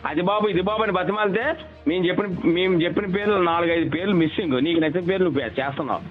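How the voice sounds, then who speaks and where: Tinny phone call, rapid-fire hectoring man. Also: high voice, faint music behind. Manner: aggressive, hectoring, repetitive. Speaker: local political leader. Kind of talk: heated phone argument.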